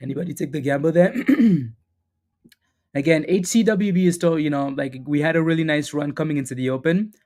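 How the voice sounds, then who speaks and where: A man hosting a live stock trading stream, chatting casually and upbeat, repeating phrases and calling out viewers by name, a little hoarse and clearing his throat now and then. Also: on the high side, moderate pace, a little mellow. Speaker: stock trading livestream host. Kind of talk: live market commentary with chat shout-outs.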